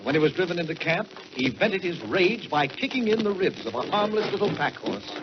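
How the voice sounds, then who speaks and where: An American man narrating in a dramatic 1940s radio style, with measured, theatrical delivery and drawn-out words. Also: sits high, very animated. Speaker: storyteller and radio host. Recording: dull vintage 1940s radio, music behind.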